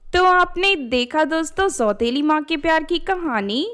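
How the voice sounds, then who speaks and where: A woman's voice: sits high, lively intonation, a little slow, fairly bright tone, soft background music. A woman storyteller reading scripted narration for a children's moral story.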